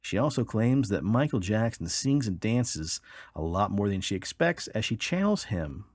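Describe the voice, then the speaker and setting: A man's voice: lowish voice, very animated, moderate pace, darkish tone, no echo, studio quality. A man co-hosting a podcast, reading odd news stories aloud with casual, wry, joking commentary. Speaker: podcast co-host.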